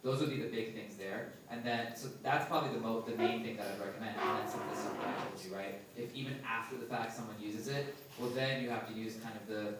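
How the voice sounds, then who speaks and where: A man's voice: lowish voice, fast, few pauses. A man on a live panel answering audience questions in a methodical way, often checking in with "right?". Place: large indoor event space. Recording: echoey hall from far off, noisy.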